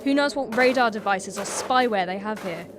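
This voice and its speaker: A woman's voice: mid-range voice, normal speed, over music, lightly acted. An actress playing a determined young woman in a horror drama, speaking firmly and urgently. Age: young adult. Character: determined young woman searching for her missing sister. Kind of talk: dramatic voice acting in a horror motion comic.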